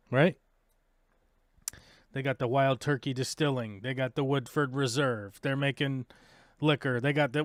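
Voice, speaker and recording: Man, American, casual live-stream host, rambling, smooth voice, dry close sound. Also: mid-range voice, no room sound. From United States. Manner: rambling, joking, many fillers.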